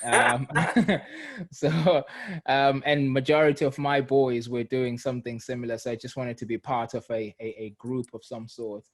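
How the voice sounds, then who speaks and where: Man, thirties, from Zimbabwe, interview guest, unhurried reflective storyteller, mid-pitched voice. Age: 30s. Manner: relaxed, reflective storytelling with fillers. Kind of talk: casual interview.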